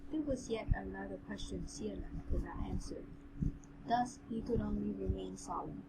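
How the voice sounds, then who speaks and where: Amateur female story narrator, mid-pitched, reading fantasy prose, very noisy home recording. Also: moderate pace, creaky. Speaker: co-host and narrator of a novel reading. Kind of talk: amateur narration of a fantasy novel with casual commentary.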